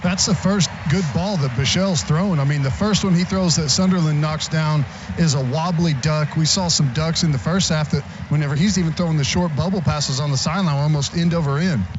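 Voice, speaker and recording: A man's voice: on the high side, a little fast, few pauses. A man giving casual football analysis as a sideline reporter on live radio. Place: outdoors on stadium sideline. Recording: muffled radio feed, loud stadium noise.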